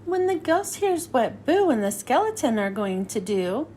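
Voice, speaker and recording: A woman reading a rhyming storybook to children in an expressive, sing-song way. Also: on the high side, moderate pace, slight background noise. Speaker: children's read-aloud host. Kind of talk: scripted storybook narration for children.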